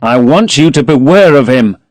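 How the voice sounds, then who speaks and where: A man's voice: mid-range voice, expressive, moderate pace, darkish tone, dry recording, lightly acted. A man doing a sullen protective brother. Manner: sullen, blunt.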